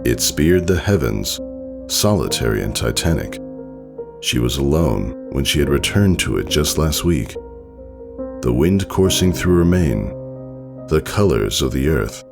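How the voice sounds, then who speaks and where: An extremely low-voiced man reads a story as a solemn, dramatic narrator. His delivery is measured, with a heavy creak in the voice, and faint music plays underneath. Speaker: fan fiction narrator. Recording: soft music behind the voice.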